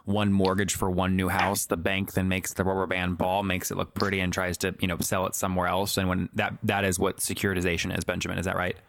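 A man's voice: low voice, monotone, fast talker, few pauses, a bit bright. An American man hosting a business interview, asking probing questions and restating answers in plain terms. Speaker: podcast interviewer, host.

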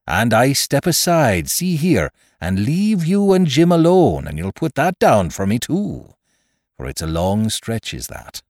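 A man reading aloud and voicing a pleading old male pirate in rough seaman's dialect, with a trembling voice. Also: fairly low pitch, sing-song, measured pace, a little mellow, dry recording, clean studio sound, exaggerated voice. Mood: earnest. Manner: wheedling, trembling, earnest.

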